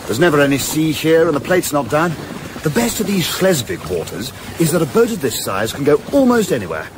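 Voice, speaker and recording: An actor playing a hearty, practical British yacht skipper in a radio play, talking in a brisk, chummy, old-fashioned way. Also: male voice, on the high side, very animated, noisy background. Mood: breezy, busy.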